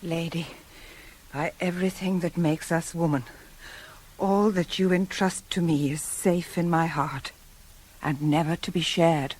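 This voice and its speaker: A woman playing a loyal, fearful confidante to a queen in a radio tragedy, with a husky voice. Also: fairly low pitch, fairly even intonation, measured pace, a little mellow. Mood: solemn. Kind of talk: 1980s radio drama, Greek tragedy.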